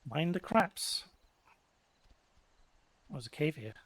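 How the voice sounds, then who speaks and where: A man's voice: fairly high pitch, sing-song, takes it slowly, fairly bright tone, no echo. A man giving casual, understated Let's Play commentary in offhand muttered remarks. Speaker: gaming video creator. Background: game music.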